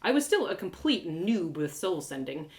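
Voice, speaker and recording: A woman reading a first-person story aloud with expressive, playful, dramatic delivery. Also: on the low side, normal speed. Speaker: author reading a story live.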